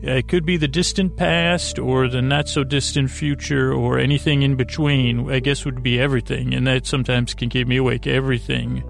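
A man hosting a bedtime podcast in a creaky, lulling voice, meandering haltingly and interrupting himself through a silly monologue. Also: mid-range voice, level tone, faint music behind. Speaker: host of a bedtime sleep podcast. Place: small closet, close and dry.

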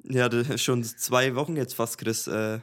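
A young man with a clear Franconian lilt co-hosts a podcast in an easygoing, chatty way. His voice sits at an ordinary middle pitch and he laughs often between remarks. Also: moderate pace, soft background music. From Franconia, Germany. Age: young adult. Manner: relaxed, chatty, laughs easily. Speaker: podcast co-host. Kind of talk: casual podcast chat over video call. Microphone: home podcast mic over video call.

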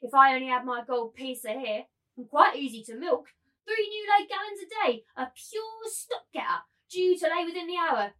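A high-pitched, imperious brothel keeper acted by a woman in a staged book reading. The delivery is theatrical, mocking and cruel, that of a ruthless auctioneer who expects to be obeyed. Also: very animated, normal speed, fairly bright tone, poor audio. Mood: gleeful salesmanship. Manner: commanding, taunting, theatrical. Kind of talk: dramatised literary reading.

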